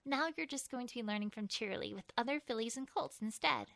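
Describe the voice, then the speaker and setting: A woman voicing a caring, sensible young mare in an acted audiobook, reassuring and gently instructive. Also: a little high, quick pace, gravelly, no echo. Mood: patient, firm. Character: caring, sensible young female pony guardian.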